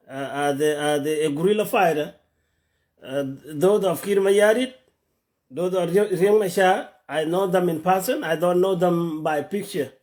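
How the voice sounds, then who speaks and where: This is a middle-aged man from South Sudan talking live into his phone, his voice sitting fairly high for a man. He speaks in a halting way, often repeating words as he hunts for names and places. It sounds like a casual social media broadcast from home. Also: darkish tone. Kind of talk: Facebook Live talk. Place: home in Atlanta.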